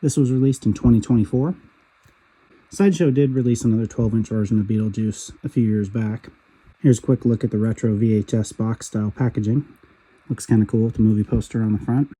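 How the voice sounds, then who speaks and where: A man narrating a toy figure review, a little raspy from a cold, in a steady, matter-of-fact way. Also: fairly low pitch, brisk, low-quality recording. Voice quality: husky. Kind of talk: calm voice-over product review.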